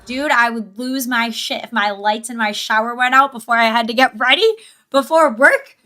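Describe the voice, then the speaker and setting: A woman's voice: a little high, normal speed, pushed and bright. A woman giving casual live reaction commentary as a streamer, rambling informally and asking questions as she goes. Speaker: livestreamer.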